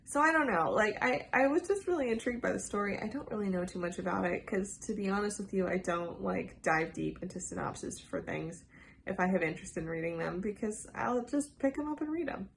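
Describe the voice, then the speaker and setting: A woman's voice: mid-range voice, expressive, a little fast, creaky, a little hiss or hum. A woman making a casual book vlog, chatty and enthusiastic, sounding a bit congested from a cold. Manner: chatty, enthusiastic, filler-heavy. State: congested, under the weather. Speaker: book vlogger. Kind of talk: casual book tag video.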